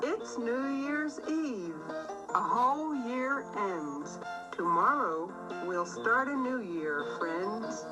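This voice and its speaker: A woman narrates a children's storybook at a slow pace with lively, varied intonation. Her delivery is deliberate and expressive, and music plays clearly behind her. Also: a little high, poor audio. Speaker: children's book reader.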